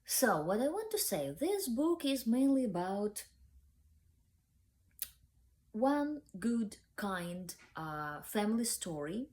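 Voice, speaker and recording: Slow-speaking Ukrainian woman reviewing books. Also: a little high, very animated, a little mellow. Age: young adult. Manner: hesitant, many fillers and restarts. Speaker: book channel host. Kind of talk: book review vlog.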